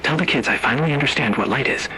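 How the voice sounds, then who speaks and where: A man's voice: mid-range voice, very fast, sharp tone, slight background noise, pitched above the performer's own voice, natural voice, rough recording. A man acting an entranced, doomed male teacher. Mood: awestruck dread. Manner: rhythmic, insistent, awestruck short sentences. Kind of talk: analog horror storytelling.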